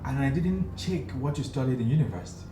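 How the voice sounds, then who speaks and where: A man's voice: mid-range voice, expressive, fast. Challenging male talk show host, echoey studio. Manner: teasing, challenging questions. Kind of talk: casual studio interview. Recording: echoey studio with background noise.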